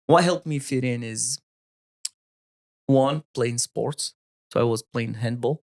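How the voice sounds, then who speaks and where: A man from Morocco in his thirties with a Moroccan accent, telling his life story as a podcast guest in halting English, often searching for words and restarting. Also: medium pitch, creaky, a little mellow. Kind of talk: casual storytelling interview.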